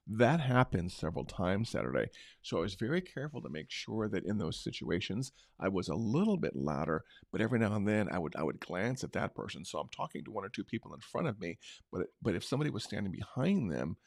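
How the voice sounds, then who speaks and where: Middle-aged guy with a big booming voice, lowish, just chatting unscripted, no room sound. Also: big pitch swings, fast, vocal fry, dry recording, clean studio sound. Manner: unscripted, conversational, many restarts. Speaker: marketing show host. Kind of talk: casual talk-show recap.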